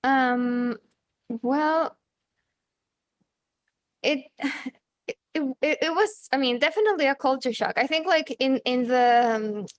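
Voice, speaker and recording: A woman in her thirties from Chile, a native Spanish speaker, talking casually as an interview guest, halting with many fillers and restarts. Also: sits high, dry recording. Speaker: guest, journalist and author.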